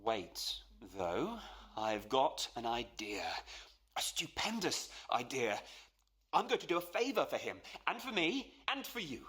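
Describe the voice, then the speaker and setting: An actor in a radio play voicing a posh, eager young suitor, effusive, flustered and deferential. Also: male voice, medium pitch, sing-song, unhurried, fairly bright tone, slightly rough, full character voice. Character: eager, gushing, posh young fiancé wooing his father-in-law.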